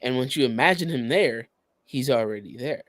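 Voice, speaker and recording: A man co-hosting a podcast, explaining things casually in a halting, searching way. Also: mid-range voice, lively intonation. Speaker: podcast co-host.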